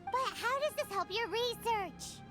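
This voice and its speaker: A very high, squeaky little fairy girl, bouncing all over her pitch as she chatters. She is excitable and speaks of herself in the third person. Loud music plays behind her in this studio game recording. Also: unhurried. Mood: curious. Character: tiny chirpy fairy-like girl companion.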